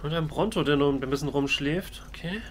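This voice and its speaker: A man's voice: fairly high pitch, a little fast, slight background noise. A man giving in-character video game commentary as a let's play host, with a playfully mock-indignant, joking manner. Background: video game sounds.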